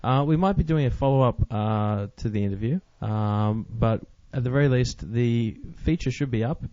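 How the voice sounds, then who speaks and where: A man's voice: mid-range voice, moderate pace, mellow, no room sound. A man hosting a podcast in a casual, conversational chat. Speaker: podcast host. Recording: dry, slightly dull recording.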